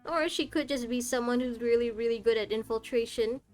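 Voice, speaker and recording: A woman's voice: on the high side, brisk, a little mellow. A woman game streamer giving casual live commentary, chatty and musing aloud in questions. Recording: faint game music behind.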